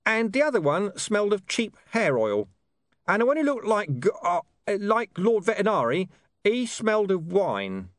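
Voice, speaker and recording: A man's voice: high-pitched, very animated, normal speed, no room sound, studio quality, pitched above the performer's own voice. A man voicing a streetwise talking dog who fumbles for words in an audiobook. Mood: wry. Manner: gruff, hesitant, searching for words.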